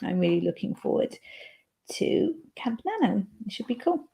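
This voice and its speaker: A woman's voice: mid-range voice, sing-song, normal speed, gravelly, warm tone. A woman hosting a writing livestream, chatting casually and playfully as she reads chat messages aloud.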